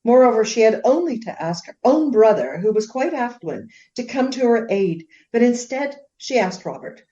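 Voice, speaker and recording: A woman playing a proud, indignant Regency gentlewoman in a radio play, speaking formally and emphatically in defence of her husband. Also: on the high side, big pitch swings, normal speed, lo-fi sound. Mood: haughty, affronted.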